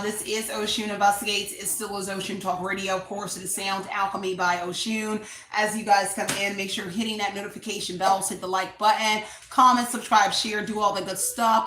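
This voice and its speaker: A woman giving a casual tarot reading, talking loudly and chattily to her viewers. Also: mid-range voice, fairly even intonation, fairly quick. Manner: chatty, loud, addresses viewers as y'all. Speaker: tarot reader and channel host. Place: echoey room at home. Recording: echoey room.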